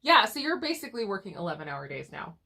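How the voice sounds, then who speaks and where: A woman's voice: mid-range voice, big pitch swings, fast talker, vocal fry, sharp tone. A woman co-hosting a casual couple chat, talking through a lingering cough with a cough drop in her mouth, laughing often and restarting her sentences. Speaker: co-host of couple chat channel.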